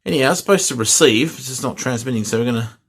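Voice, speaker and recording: A man's voice: medium pitch, lively intonation, very fast, fairly bright tone, husky. A middle-aged man chatting casually through a radio unboxing and repair, rambling with fillers and restarts. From Australia. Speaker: hobbyist vlogger.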